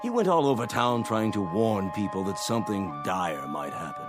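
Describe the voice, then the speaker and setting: An actor in a radio play voicing a smug, wealthy gentleman with a self-satisfied, leisurely manner. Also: fairly low pitch, lively intonation, normal speed, over music, close to an everyday voice. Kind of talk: dramatic radio play acting.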